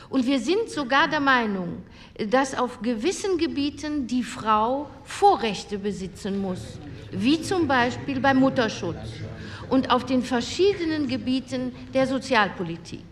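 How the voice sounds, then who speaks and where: An actress playing an earnest woman delegate who gives a formal, deliberate speech in a radio drama. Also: sits high, lively intonation. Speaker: politician speaking in a committee debate. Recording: long hall reverb, faint ambience.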